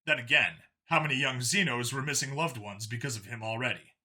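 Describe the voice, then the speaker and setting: A man's voice: mid-range voice, fairly quick, sharp tone. A man reading science fiction as a dramatic scripted narration. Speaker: story narrator.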